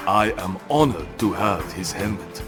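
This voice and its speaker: An actor playing a wise elderly rat mentor in a cartoon game, speaking formally with measured, fatherly dignity over music. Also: low-pitched, animated. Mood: solemn, honored. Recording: music under the voice.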